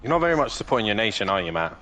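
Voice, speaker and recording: A man's voice: fairly low pitch, very animated, rapid-fire, pushed and bright, a little hiss or hum. A man with a cold, racing online, who explains his driving lines and swears casually while chatting with friends. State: has a cold. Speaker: sim racing driver. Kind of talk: casual voice chat before a race.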